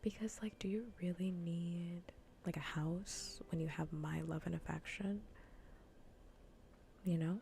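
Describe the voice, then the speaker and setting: A woman whispering softly and breathily in an ASMR gaming stream, playfully bossy and dryly sarcastic, close to the microphone. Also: lowish voice, fairly even intonation, unhurried, creaky, slight background noise. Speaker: livestreamer.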